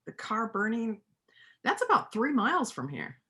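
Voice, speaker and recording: A woman's voice: a little high, huge pitch swings, normal speed, bright, forceful tone, heavily acted. A woman in a readers' theater play acting a stern, practical rural veterinarian who talks in a terse, matter-of-fact way.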